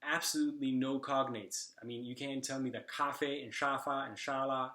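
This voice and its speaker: Young man, halting vlog talk, mid-pitched voice, echoey room. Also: steady tone, bright, forceful tone. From Slovakia. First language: Slovak. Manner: hesitant, many fillers and restarts. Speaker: language vlogger. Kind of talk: casual talking-head vlog.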